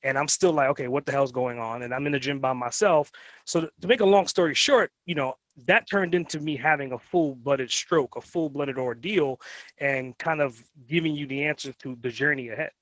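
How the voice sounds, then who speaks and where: A man's voice: medium pitch, brisk, a bit bright, no room sound. A man born in Trinidad and Tobago and raised in New York, a guest telling his life story in a casual interview, rambling with lots of fillers. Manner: rambling, anecdotal, many fillers. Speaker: guest entrepreneur.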